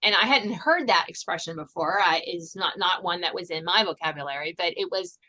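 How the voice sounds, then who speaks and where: A woman's voice: fairly low pitch, a little fast, bright, forceful tone. A woman hosting a podcast in a casual interview, chatty and given to laughing. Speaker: podcast host.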